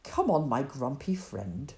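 A woman's voice: rumbling low, very animated, a little slow, mellow, pitched below the performer's own voice, full character voice. A woman voicing a cheerful, good-humoured male shepherd who coaxes his friend along, in a storybook reading. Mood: jolly. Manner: jolly, coaxing.

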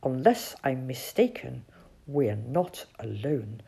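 Woman doing a suspicious, indignant rat, swooping pitch. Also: very animated, takes it slowly, gravelly, warm tone, breathy edge, exaggerated voice.

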